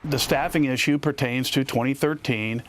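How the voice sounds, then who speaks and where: A man's voice: mid-range voice, fairly even intonation, normal speed, fairly bright tone, a little hiss or hum. A man giving an explanatory statement in a news clip. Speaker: air traffic controller, commentator in a clip.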